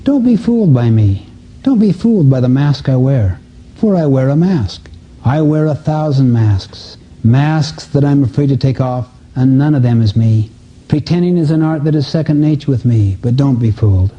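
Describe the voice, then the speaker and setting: Husky, vulnerable confessor, recited by a man. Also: mid-range voice, expressive, dark tone, lightly acted. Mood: pleading, vulnerable. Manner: earnest, measured, confessional. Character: insecure, frightened soul confessing behind a mask. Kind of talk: slow dramatic recitation of a confessional poem. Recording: dull, lo-fi audio recording.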